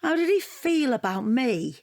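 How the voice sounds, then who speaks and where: Wry, rambling wounded wife. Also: female voice, sits high, measured pace, gravelly, full character voice. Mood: bitter, wondering. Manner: wry, digressive, deadpan. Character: wounded, rambling wife dissecting her unwanted portrait gift. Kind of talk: audio drama monologue and dialogue.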